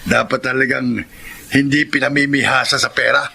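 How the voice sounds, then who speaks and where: Gravelly, smug chauvinist buddy. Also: male voice, medium pitch, level tone, moderate pace, bright, forceful tone, exaggerated voice. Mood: smug, jovial. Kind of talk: radio drama acting.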